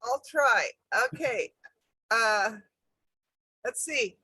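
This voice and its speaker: A woman with a high, animated, bright voice speaks very slowly on a video call, giggling often. The sound is dry and close. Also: slightly rough, no room sound. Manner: slow, giggly. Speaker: musician.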